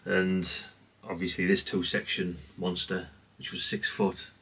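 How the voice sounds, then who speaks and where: A man's voice: low voice, monotone, measured pace, fairly bright tone, breathy edge, recorded from a distance. A man giving a casual, rambling, understated show-and-tell, speaking off the cuff. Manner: rambling, self-effacing, understated. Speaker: hobbyist presenter.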